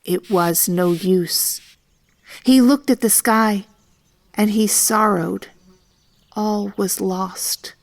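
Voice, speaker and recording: A woman narrates a children's story from a script. Her voice sits at a medium pitch, and she takes her time, shaping each phrase with deliberate expression. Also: fairly even intonation, gravelly.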